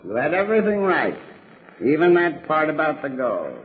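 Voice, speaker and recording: Tough villain boss, smug, taunting, animated intonation, old radio drama, very band-limited sound. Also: male voice, a little high, normal speed, phone-quality sound. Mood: smug, menacing. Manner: taunting, tough-guy delivery. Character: smug, menacing male gang boss villain. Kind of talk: 1940s radio drama acting.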